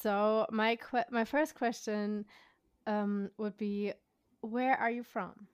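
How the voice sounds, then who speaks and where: A woman's voice: medium pitch, fairly even intonation, slow pace, smooth, no echo, studio quality. A woman hosting a podcast, friendly and chatty, asking questions with little laughs and backchannels. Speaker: podcast host. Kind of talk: casual interview conversation.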